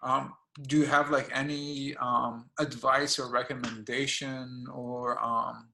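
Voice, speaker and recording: A man's voice: mid-range voice, level tone, slow pace, gravelly, pushed and bright, roomy sound, low-quality recording. A man hosting a casual music interview, asking questions hesitantly with many fillers and encouraging his guest. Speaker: radio and blog host.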